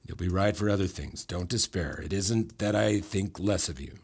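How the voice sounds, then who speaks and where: A man's voice: low-pitched, normal speed, darkish tone, slightly rough. A man playing a manipulative, patronising mentor in an audio drama, speaking articulately and with control as a self-styled father figure. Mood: condescending.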